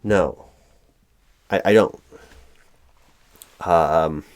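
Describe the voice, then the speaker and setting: A man's voice: deep voice, extremely slow, no room sound. A man playing a flustered, apologetic corporate hiring manager in a one-sided roleplay, stammering and repeating his words as he goes. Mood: exasperated. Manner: frustrated, hesitant. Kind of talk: improvised audio roleplay, one side of a conversation.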